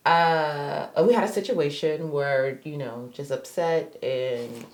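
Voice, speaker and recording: A woman in her thirties hosts a marriage podcast in easy, upbeat chat. Her voice sits low and carries a slight rasp today, and she laughs easily between points. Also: slow pace, a little mellow. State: slightly raspy voice from venting. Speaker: podcast host, marriage therapist associate. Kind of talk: casual conversational podcast chat.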